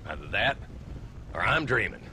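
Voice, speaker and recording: A weary town marshal in a western video game. His voice is deep but moves a lot in pitch, dry and wry in delivery, with clear outdoor ambience around him. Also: very animated, moderate pace, bright, forceful tone, slight background noise, full character voice.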